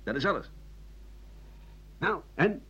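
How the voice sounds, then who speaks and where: An actor playing a bitter old French doctor-writer in a radio play, rambling through a sardonic, coarse, self-justifying monologue in a husky voice. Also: male voice, fairly high pitch, very animated, slow, bright, forceful tone, full character voice. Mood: defiant. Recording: dull lo-fi 1980 radio recording.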